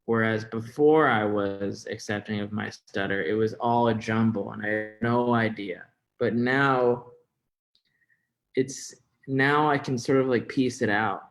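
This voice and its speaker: A man's voice: fairly low pitch, steady tone, unhurried, poor audio. A young American man with a stutter talking candidly and informally as an interview guest, hesitating and repeating words. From Florida, United States. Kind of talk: candid personal interview talk.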